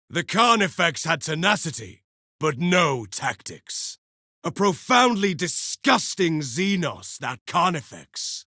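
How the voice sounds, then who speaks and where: An actor playing a haughty, righteous space marine knight who declaims with disdain in a video game. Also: male voice, a little high, vocal fry, pushed and bright, no room sound, clean studio sound, full character voice. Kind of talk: video game combat barks.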